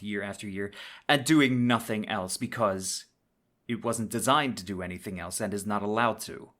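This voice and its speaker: A man's voice: mid-range voice, fairly bright tone. Male podcast host, wry storytelling delivery. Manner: dramatic emphasis with wry, colloquial asides. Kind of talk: semi-scripted conversational narration, storytelling monologue.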